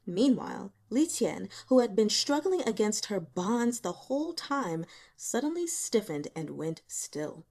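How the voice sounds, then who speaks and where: Expressive woman narrator with animated pitch. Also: unhurried, few pauses. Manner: expressive, dramatic. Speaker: audiobook reader. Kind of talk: dramatic scripted story narration.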